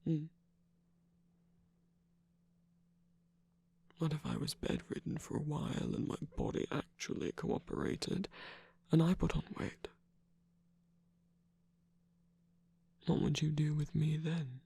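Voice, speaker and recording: Casting: tender, teasing villain sweetheart for romantic ASMR performer of unclear sex, very husky half-whisper with a creaky edge. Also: mid-range voice, fairly even intonation, normal speed. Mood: earnest, coaxing. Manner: tender, soft-spoken, teasing. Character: affectionate villain lover, battle-scarred, gently scolding and reassuring. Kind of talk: intimate ASMR romantic roleplay.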